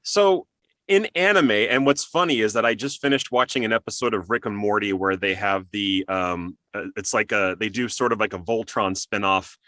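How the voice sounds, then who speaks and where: A man's voice: lowish voice, moderate pace, pushed and bright, no echo, studio quality. A man hosting an interview in a casual, conversational style, asking questions and adding his own comments. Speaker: interview host, voice actor.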